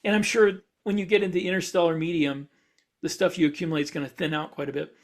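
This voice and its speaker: A man's voice: fairly high pitch, steady tone, fast. A man co-hosting a podcast, talking through ideas in a casual, explanatory way as he thinks aloud. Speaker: podcast co-host. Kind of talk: casual discussion of speculative science for fiction.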